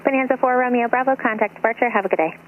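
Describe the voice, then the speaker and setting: A woman working as a tower controller, giving procedural clearances over aviation radio. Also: a little high, racing. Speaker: air traffic controller in tower. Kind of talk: brisk procedural radio phraseology. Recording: tinny narrow-band radio, faint noise.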